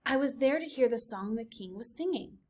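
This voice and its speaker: Woman doing a little boy mouse, soft and polite, kinda high. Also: animated, a little fast, slightly rough. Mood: earnest. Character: small gentle young male mouse, earnest and dreamy.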